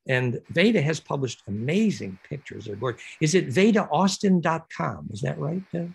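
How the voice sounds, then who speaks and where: A man giving an online lecture on a video call, explaining at length with lots of fillers in a raspy voice. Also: on the high side, moderate pace. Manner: animated, rambling explanation with many fillers.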